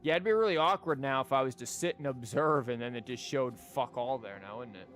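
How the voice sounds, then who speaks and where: Male game streamer, mid-pitched, sarcastic and sweary, chatting casually over faint music. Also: brisk, fairly bright tone. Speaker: streamer playing a video game. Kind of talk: casual live game commentary. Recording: music behind the voice.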